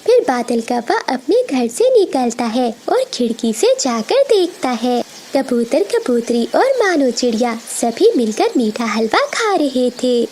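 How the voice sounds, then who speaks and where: A woman's voice: high-pitched, very animated, unhurried, a little mellow, a little hiss or hum. A woman reading a scripted children's story as the narrator.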